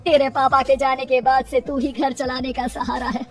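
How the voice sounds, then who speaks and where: A woman's voice: high voice, little pitch movement, normal speed, recorded from a distance, faint music behind, natural voice. A woman voicing an older village mother-in-law who speaks affectionately and worries about her family. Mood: worried.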